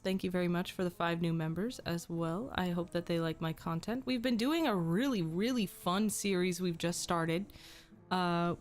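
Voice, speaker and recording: Young female streamer, casual chat, slightly hoarse from a cold, faint music underneath. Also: medium pitch. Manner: chatty, reads and answers chat, frequent ums. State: slightly sick, losing her voice.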